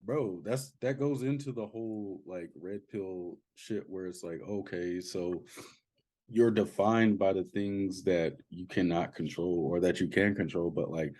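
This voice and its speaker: A man's voice: low-pitched, unhurried, darkish tone. A young man co-hosting a podcast, talking bluntly and informally, full of slang. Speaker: podcast co-host. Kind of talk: casual commentary chat.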